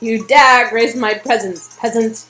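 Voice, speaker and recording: A haughty monarch, played by a woman, pompous and commanding. The voice is slightly high and projected, as in playful game acting. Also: fairly even intonation, a little slow, fairly bright tone, reverberant, soft background music. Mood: imperious. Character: haughty, imperious royal ordering executions in jest.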